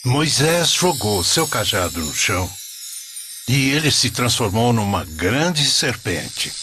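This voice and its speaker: A man narrating a scripted religious story for a cartoon in a solemn, deliberate manner. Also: mid-range voice, a bit bright, slight background noise.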